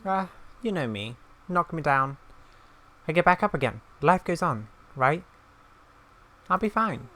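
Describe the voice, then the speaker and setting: A woman voicing a grieving, loyal young female rider from a video game, in an earnest, halting dramatic reading. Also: sits low, expressive, a bit bright, voice pushed up. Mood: brave, brushing off. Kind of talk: reading game dialogue aloud. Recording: clear background noise with game ambience.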